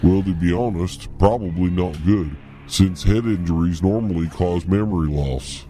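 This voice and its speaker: Man acting a low, mellow, cheeky hillbilly. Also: moderate pace, lightly acted. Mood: wry, indignant. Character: folksy, cheeky hillbilly captive. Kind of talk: cartoon acting.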